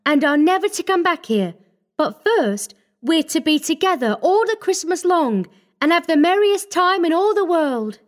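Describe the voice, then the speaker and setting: Storybook: man doing a tiny eager girl, very high and sweet, breathless with excitement. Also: moderate pace. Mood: gleeful.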